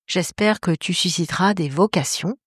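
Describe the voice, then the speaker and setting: A woman's voice: lowish voice, normal speed, slightly rough, no room sound, clean studio sound. A woman hosting a podcast interview, reading scripted questions in a polite, presenter-like way.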